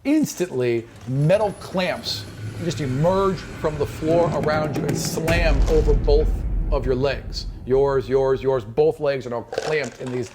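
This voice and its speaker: A man's voice: fairly high pitch, takes it slowly, noisy background. A man running a tabletop fantasy game as game master, narrating descriptively and voicing sound effects.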